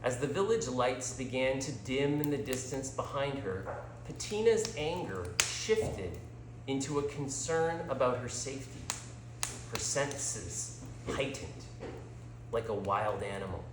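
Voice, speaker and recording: A male storyteller narrates a scripted tale in an unhurried, theatrical way. His pitch is medium and swings widely as he reads. The sound is roomy, with clear echo around the voice. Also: animated, background noise. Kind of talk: scripted story narration, dramatic read-aloud.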